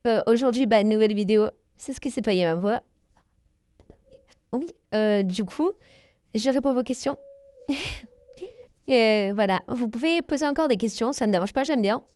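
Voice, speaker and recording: A woman's voice: on the high side, animated, normal speed, pauses often, a little mellow, no room sound, studio quality. A young woman answering her viewers' questions in a casual, hesitant chat, full of fillers and small laughs. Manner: hesitant, many fillers, giggly. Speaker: YouTuber answering fan questions.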